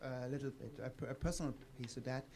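A man's voice: medium pitch, steady tone, fast talker, background noise. A man in his thirties from Croatia, telling a personal example on a conference panel through a table microphone. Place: conference room with audience.

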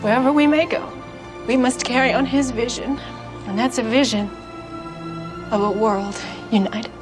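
Woman acting as film mourner, solemn, resolute, higher voice, clear score behind. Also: fairly even intonation, moderate pace, close to an everyday voice. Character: mourning woman vowing to carry on a mentor's vision. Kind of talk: film dialogue. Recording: film audio with clear music.

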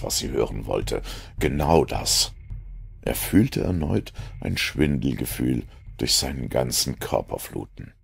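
A man narrating a science fiction audio story in a brooding, deliberate way. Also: a bass voice, creaky, slight background noise. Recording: music under the voice. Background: music.

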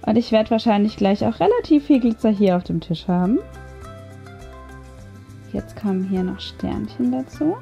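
A woman's voice: medium pitch, expressive, normal speed, creaky, long pauses, dark tone, dull audio. A woman hosting a craft video, casually narrating each step and thinking aloud as she goes. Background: background music.

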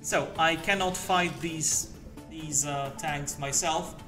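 A man's voice: on the high side, steady tone, slow pace. A young man from Poland, a game developer chatting casually as he plays and explains the game. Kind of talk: casual live commentary over gameplay. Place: indoor room. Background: game music and effects.

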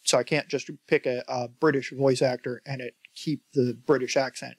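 Man, forties, American accent, conversational tutorial host, emphatic, slightly high pitch, dry close sound. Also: no room sound. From United States. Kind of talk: casual software tutorial.

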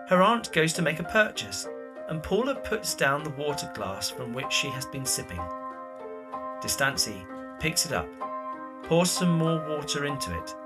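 A man narrating scripted stage directions for an audio drama. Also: on the high side.

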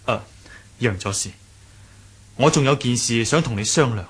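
Casting: male actor as young martial hero leading a sect, modest, courteous, slightly rough-edged voice, Hong Kong Cantonese, vintage radio drama. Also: mid-range voice, normal speed, close to an everyday voice. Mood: gentle, persuasive. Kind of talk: 1980s radio drama acting.

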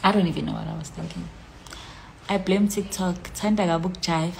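A woman's voice: fairly low pitch, fairly quick, vocal fry, a little hiss or hum. A young South African woman chatting casually on a phone live stream from a small room, reading out comments with a playful manner that drops into whispers. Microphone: phone at arm's length.

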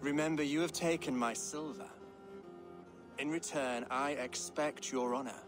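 A man's voice: medium pitch, music in the background, close to an everyday voice. Man playing a cold, stern Saxon king. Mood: coldly taunting. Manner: measured, regal, stern. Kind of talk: dramatic video game acting.

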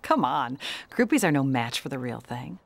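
A woman's voice: extremely low pitch, very animated, normal speed, no room sound, exaggerated voice. A woman playing a confident young sports car in a video game, wry and teasing. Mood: playful confidence.

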